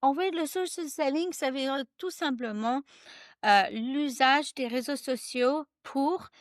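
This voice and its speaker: A woman guest author talking in a casual interview, animated and hesitant, laughing often and slipping into English. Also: high voice, a little slow, no room sound, clean studio sound.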